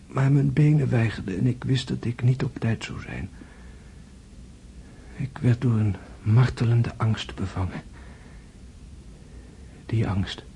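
An actor playing a gravely wounded prince in a radio drama, tender and philosophical, speaking in hushed, halting, weakened tones. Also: male voice, medium pitch, slight background noise. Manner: hushed, halting, intense delivery, often whispering. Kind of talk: Dutch radio drama acting.

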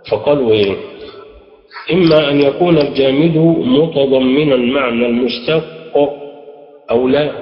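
A man with an Egyptian accent teaching a grammar class, explaining step by step and quizzing his students with prompting questions. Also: medium pitch. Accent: Egyptian Arabic. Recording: dull lo-fi recording, echoey hall.

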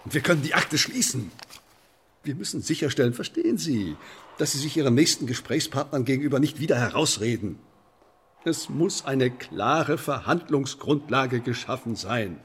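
An actor playing a menacing, sardonic Elizabethan English sheriff in a German radio drama, officious and mock-polite, with deliberate emphasis. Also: male voice, mid-range voice, expressive, breathy edge. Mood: officious pressing.

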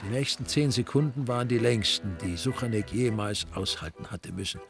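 Deadpan, low-voiced male narrator. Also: a little fast, vocal fry, soft background music. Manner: dry, wry, deadpan. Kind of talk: scripted crime story narration.

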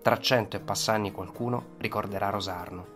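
A man narrating a scripted historical account for radio in a solemn, dramatic manner, with short, deliberate phrases. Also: lowish voice, steady tone. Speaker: storyteller, narrator. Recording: radio narration over musical soundtrack. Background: instrumental soundtrack with guitar.

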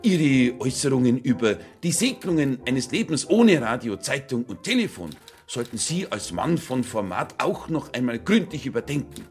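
A man with a mid-pitched, very animated voice performs formal correspondence. His delivery is mock-official and theatrically emphatic, swinging widely in melody. Music sits faintly in the background. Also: normal speed, few pauses. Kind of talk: dramatic reading of official letters.

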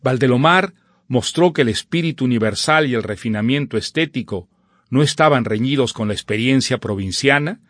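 A man's voice: medium pitch, fairly bright tone, no echo. Man presenting literature in dry studio sound. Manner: erudite, measured, formal phrasing. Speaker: presenter introducing a literary radio drama. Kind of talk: scripted literary commentary.

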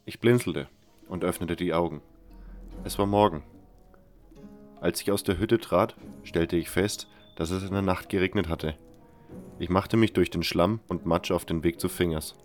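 A man playing a wary, brooding nameless convict who narrates a fantasy audio drama in a measured way. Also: sits low, soft background music, lightly acted. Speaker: first-person narrator.

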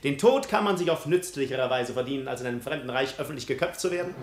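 A man's voice: mid-range voice, animated, slight background noise, close to an everyday voice. Man playing a witty, fearless prince, swooping intonation. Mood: bold, provoking. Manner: courtly, teasing, ironic, unhurried storytelling. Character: bold, witty foreign prince, unafraid of death. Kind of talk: 1950s German radio drama acting. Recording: roomy 1950s radio studio recording.

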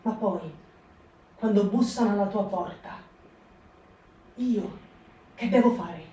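A woman reads in a slow, weighty voice, giving each phrase room. She sounds set back from the microphone in a roomy, echoing space. The style is a performed stage reading. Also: mid-range voice, warm tone. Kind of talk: dramatic reading for radio. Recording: echoey stage reading from far off.

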